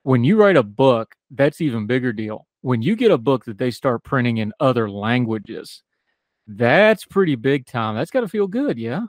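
Folksy Appalachian talk radio host. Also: male voice, medium pitch, big pitch swings, normal speed, dry recording. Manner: folksy, talkative, self-deprecating. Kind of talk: talk radio hosting and interviewing.

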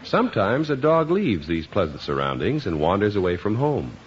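A male radio announcer giving polished, booming scripted narration, on a dull 1950s broadcast recording. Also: wildly swooping pitch, normal speed, a little hiss or hum.

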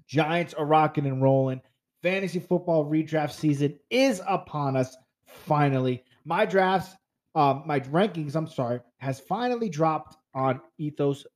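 A man in his thirties from New Jersey talks through a casual solo podcast with a slightly raised pitch. He is stuffed up and congested, yet stays chatty and upbeat, speaking straight to his listeners. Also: darkish tone. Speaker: fantasy football podcast host.

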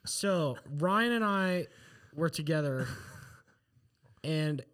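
A man co-hosting a podcast in casual chat, telling stories in an animated way and quoting people as he goes. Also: a little high, slow, clear, resonant tone. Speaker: podcast co-host.

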